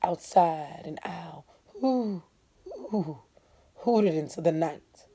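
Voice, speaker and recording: A woman reading a novel aloud in an expressive, dramatic first-person storytelling style. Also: fairly low pitch, takes it slowly. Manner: expressive, dropping into hushed breathy tones.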